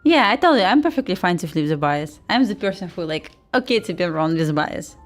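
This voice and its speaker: A woman's voice: on the low side, big pitch swings, brisk, soft background music. A woman with a Russian accent hosting a casual trading livestream, chatting informally and commentating in slightly non-native English. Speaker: host, futures day trader.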